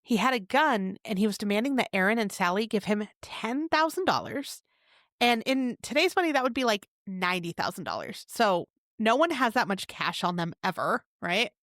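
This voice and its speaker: A woman co-hosting a podcast, telling a crime case in a chatty way with quick asides. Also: medium pitch, expressive, fairly bright tone, no echo, clean studio sound. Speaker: podcast co-host. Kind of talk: casual true crime chat.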